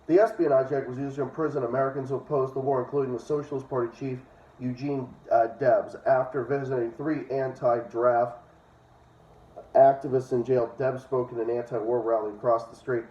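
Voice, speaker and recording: A man's voice: mid-range voice, level tone, a little fast, mellow. A man casually reading a book aloud and breaking into blunt, profane, opinionated commentary. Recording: dull, echoey room, distant from mic.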